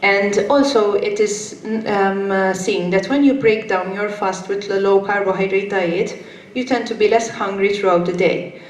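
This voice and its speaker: A woman's voice: medium pitch, moderate pace. Hesitant woman in an echoey hall. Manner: hesitant, many fillers. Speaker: conference speaker. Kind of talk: conference presentation. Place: conference hall. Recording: echoey hall with faint noise.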